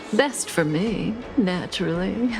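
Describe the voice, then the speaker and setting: Languid, teasing villainess, very slow-spoken. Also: female voice, medium pitch. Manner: languid, coy, amused. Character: cool, teasing, enigmatic woman outlaw. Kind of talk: video game voice acting.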